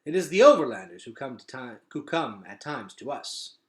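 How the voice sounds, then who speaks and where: A man voicing a dignified old man of an underground kingdom in a children's novel, speaking in a formal, measured and courteous way. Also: mid-range voice, very animated, roomy sound, lightly acted. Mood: measured, grave. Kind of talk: children's novel read-aloud.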